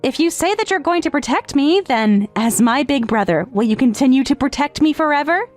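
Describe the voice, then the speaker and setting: Casting: actress as clingy teasing little step-sister, high coy voice, manga rom-com dub, music underneath. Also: female voice, lively intonation, a little fast. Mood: devoted. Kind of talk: English manga dub romantic comedy acting. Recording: music behind.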